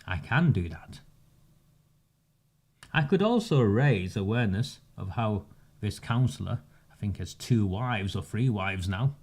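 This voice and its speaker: A man, delivering a measured, sarcastic campaigning monologue with emphatic pauses. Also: on the low side, expressive, darkish tone. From northern England. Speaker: community campaigner. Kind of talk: direct-to-camera monologue.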